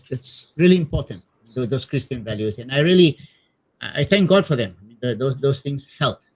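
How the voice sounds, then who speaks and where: A middle-aged priest from Malaysia with a Malaysian accent, telling personal stories casually and haltingly, often repeating words. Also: male voice, medium pitch, rough recording. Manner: hesitant, repeats words, tags with "you know". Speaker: missionary priest being interviewed.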